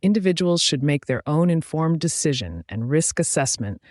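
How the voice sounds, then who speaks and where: A man giving a scripted legal disclaimer in a steady, formal reading. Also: fairly high pitch, normal speed, dry recording, studio quality. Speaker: disclaimer announcer.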